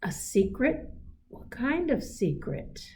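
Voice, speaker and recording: A woman's voice: fairly high pitch, very animated, slow, mellow, distant mic, exaggerated voice. A woman voicing a curious, affectionate little boy raccoon in a children's storybook, with a wondering, childlike delivery.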